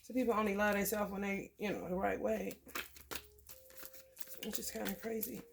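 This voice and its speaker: A woman from the American Midwest giving a loose, profane tarot reading. Her throat is scratchy from allergies, and she keeps clearing it and laughing between thoughts. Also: mid-range voice, fairly even intonation, normal speed. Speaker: tarot reader. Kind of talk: casual spiritual reading to camera.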